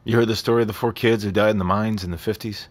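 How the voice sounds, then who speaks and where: An actor playing a blunt, profane, worn-down small-town American husband in a naturalistic audio drama. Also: male voice, on the low side, steady tone, fast, natural voice. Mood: guarded, grim. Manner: curt, profane. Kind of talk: naturalistic audio fiction acting.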